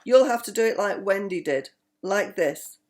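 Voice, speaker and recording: A woman's voice: mid-range voice, expressive, moderate pace, a little mellow, lightly acted. An older woman reading the part of a cheeky, scheming, persuasive boy in a bedtime storybook. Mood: resigned, scolding.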